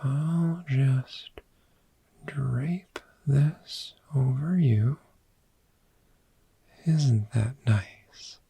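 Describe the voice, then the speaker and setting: A performer voicing a friendly, soothing dragon in an ASMR roleplay, speaking in a gentle, breathy whisper and lingering on repeated words. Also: medium pitch, very slow, pauses often, full character voice. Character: friendly soothing dragon therapist showing a cozy hoard.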